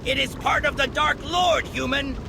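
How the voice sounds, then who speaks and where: A man's voice: fairly even intonation, moderate pace, noisy background. A man voicing a gleeful, treacherous little goblin who taunts in sing-song repetitions in a fantasy game cutscene. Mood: gloating. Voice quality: shrill, creaky. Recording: loud music behind. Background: music.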